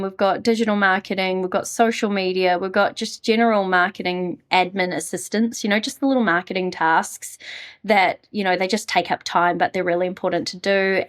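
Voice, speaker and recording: A woman from New Zealand with a New Zealand accent, talking casually and upbeat as an interview guest about her marketing business. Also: mid-range voice. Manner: chatty, upbeat, frequent fillers.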